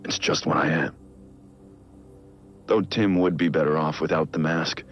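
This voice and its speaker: An actor playing a brooding, guilt-ridden middle-aged vigilante who records grave, measured, introspective audio logs in a video game. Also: male voice, fairly even intonation. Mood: brooding, doubtful. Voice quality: deep, gravelly. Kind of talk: scripted audio log narration, video game acting. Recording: muffled lo-fi audio log, music underneath. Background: music.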